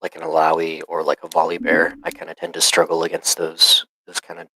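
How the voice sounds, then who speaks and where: A man with a very deep, gravelly voice that has a bright edge, heard close and dry. He is a little sick and coughs now and then, and he talks haltingly with plenty of fillers. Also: completely flat delivery, no room sound, rough recording. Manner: hesitant, many fillers. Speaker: student player. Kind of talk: casual call conversation.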